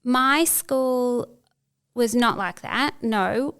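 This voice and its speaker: Clear-voiced reflective woman guest. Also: on the high side, drawn-out words, fairly bright tone. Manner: thoughtful, searching for words with frequent restarts. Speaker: podcast guest. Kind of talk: reflective interview conversation.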